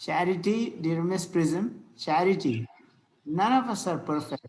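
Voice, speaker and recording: A man reading the part of a pious, pedantic, gentle clergyman in an amateur table read, formal and a little halting. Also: high-pitched, lively intonation, a little hiss or hum, poor audio. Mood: solemn sympathy.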